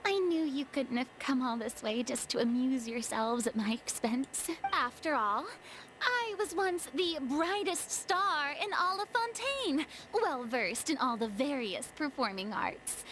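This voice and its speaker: An actress playing a proud, theatrical young former goddess in a video game, haughty yet self-deprecating. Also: female voice, sits high, sing-song, unhurried, fairly bright tone, a little hiss or hum, exaggerated voice, poor audio. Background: game music.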